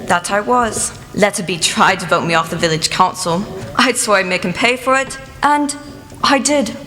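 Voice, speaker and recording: A woman's voice: mid-range voice, moderate pace, bright, forceful tone, close to an everyday voice. A woman playing a mad, power-hungry village bell-ringer in a radio play, defiant and gloating, with rustic phrasing. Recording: long reverb, music underneath.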